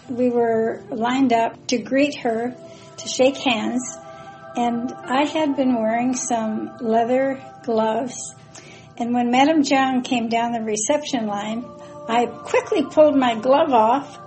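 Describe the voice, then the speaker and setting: An older woman recounting little memories from her years overseas, amused and easygoing. Her voice sits slightly high, with quiet music behind her. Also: rough recording. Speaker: former missionary wife. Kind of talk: reminiscing personal anecdotes.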